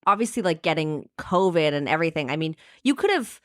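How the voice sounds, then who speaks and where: A woman's voice: low-pitched, sing-song, brisk, a bit bright, no room sound, clean studio sound. A young adult woman hosting a podcast, enthusiastic and casual, swearing freely. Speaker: podcast host. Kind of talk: casual chat.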